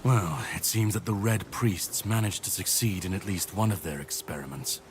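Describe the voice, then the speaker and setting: An actor playing a grim, world-weary warrior on a mission in a video game, speaking in a grave, measured way. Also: male voice, low voice, lively intonation. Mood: somber, menacing. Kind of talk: dramatic video game dialogue acting. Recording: clear background noise and ambience.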